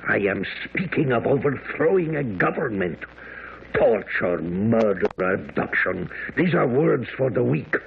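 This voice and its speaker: A scornful, ruthless schemer in a radio play. His voice is heavily creaky and husky, swinging emphatically in pitch. He is a man who scorns weakness. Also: big pitch swings, measured pace, exaggerated voice. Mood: menacing, urgent. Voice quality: gravelly, husky. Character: ruthless, scornful political plotter. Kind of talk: radio drama acting. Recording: narrow, lo-fi old radio sound.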